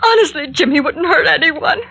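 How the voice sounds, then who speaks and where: Innocent young woman, melodramatic, pleading, high animated voice, old-time radio mystery. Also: a little fast. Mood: tearful pleading. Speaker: actress in a radio mystery serial. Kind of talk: 1940s radio acting.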